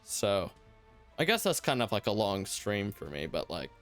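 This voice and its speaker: A man's voice: fairly low pitch, sing-song, normal speed, soft background music. A young man hosting a solo talk show, rambling loosely and reflectively, his voice slightly worn from hours of talking. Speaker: podcast host.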